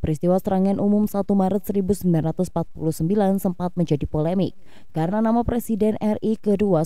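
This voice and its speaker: A woman's voice: fairly low pitch, dark tone, no room sound. A woman reading a scripted news report as a voice-over.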